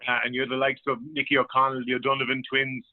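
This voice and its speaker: Fast-talking Irish man on phone line. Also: mid-range voice, completely flat delivery. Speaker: sports journalist, guest pundit. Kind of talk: sports discussion, match recap. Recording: thin phone-line radio sound.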